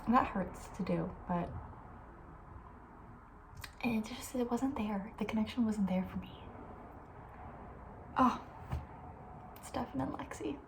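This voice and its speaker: A woman talking softly and breathily in a casual, opinionated ASMR ramble, often slipping toward a whisper. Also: a little high, slow, long pauses, a little hiss or hum. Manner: chatty, informal, opinionated, trailing off. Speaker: ASMR video host. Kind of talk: soft-spoken ASMR, casual rambling chat.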